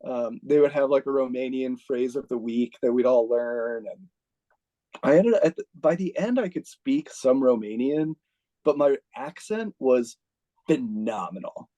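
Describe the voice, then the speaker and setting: An American man, a retired army officer, telling stories as an interview guest in a chatty, laid-back way, full of "like" and quick laughs. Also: mid-range voice, dark tone, lo-fi sound. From United States. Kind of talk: casual storytelling.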